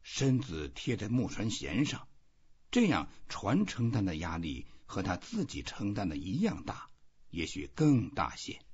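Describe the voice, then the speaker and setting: A man reading literary narration in a husky, measured, expressive storytelling voice. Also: lowish voice, muffled recording. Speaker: audiobook reader.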